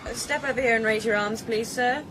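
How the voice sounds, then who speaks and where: An actress playing an officious airport security officer in a radio drama, polite, procedural and firm. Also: female voice, on the high side, a little fast, heavy background noise, lightly acted.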